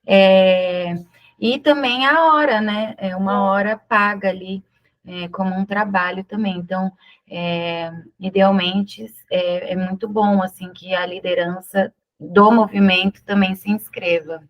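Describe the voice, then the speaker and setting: A woman's voice: mid-range voice, steady tone, measured pace, dull audio. A woman answering questions on a video call, explaining with many hesitations.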